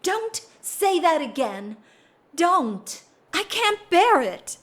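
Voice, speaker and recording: Woman playing a slow-speaking, sorrowful noble lady. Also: very high-pitched, sing-song, fairly bright tone, heavily acted. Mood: anguished. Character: restrained, sorrowful upper-class married lady hiding her love. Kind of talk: stage-style period radio drama acting.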